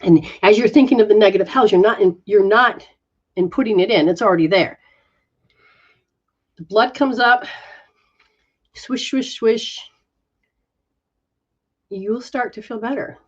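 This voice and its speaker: A woman healer talks a listener through a live reading in a gentle, coaching way, looping back over her words. Her voice sits at an ordinary middle pitch. The audio is dull and muffled, like a lo-fi stream. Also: unhurried, long pauses. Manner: soft, coaching, repetitive phrasing. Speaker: metaphysical practitioner giving readings. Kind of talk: live guided reading and healing session.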